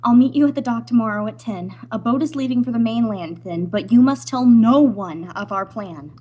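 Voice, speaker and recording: Woman as high, husky, anguished young heroine. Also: normal speed, warm tone, muffled recording. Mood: urgent pleading. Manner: pleading, breathless melodrama. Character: anguished young half-Hawaiian daughter, torn by love. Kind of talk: old-time radio melodrama acting.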